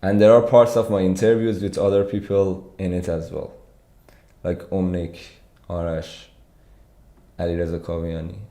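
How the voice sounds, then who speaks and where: Relaxed man from Iran streaming live. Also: deep voice, normal speed. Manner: relaxed, reads and answers chat. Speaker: competitive programming streamer. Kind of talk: casual live stream chat. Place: small dim room with pink light. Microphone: studio mic on arm.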